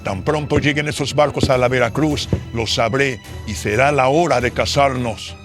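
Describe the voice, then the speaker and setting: A man's voice: medium pitch, moderate pace, natural voice. A man playing a greedy, lecherous moneylender villain in a horror radio drama, sly, unctuous and calculating. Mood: gloating. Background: music.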